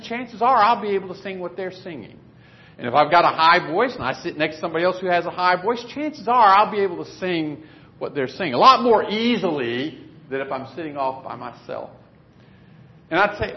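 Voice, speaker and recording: A man preaching a sermon in a conversational, plainspoken way in a church meeting room. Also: a little high. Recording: dull lo-fi recording.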